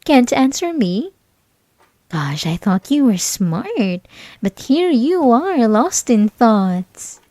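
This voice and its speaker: A young woman playing a mocking, possessive bully in an intimate ASMR roleplay, condescending and teasing, with breathy asides. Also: fairly high pitch, sing-song, slow pace, warm tone, dry recording. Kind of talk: ASMR roleplay, intimate scripted monologue to listener.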